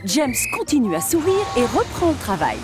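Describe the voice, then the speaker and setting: A woman's voice: sits high, wildly swooping pitch, normal speed. A woman voicing the storyteller of a children's train cartoon in scripted narration. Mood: storytelling. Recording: music behind the voice.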